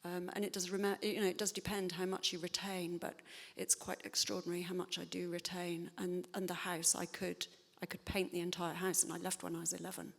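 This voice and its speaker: A woman's voice: lowish voice, flat delivery, brisk, vocal fry, hardly any pauses, big-room sound. A woman writer answering audience questions in a thoughtful, hesitant way, with many fillers and restarts. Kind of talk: spontaneous public Q&A talk.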